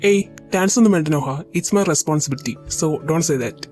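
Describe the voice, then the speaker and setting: Woman voicing a calm reassuring male doctor. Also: sits low, faint music behind. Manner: soft, measured reassurance. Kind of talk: amateur audio drama voice acting.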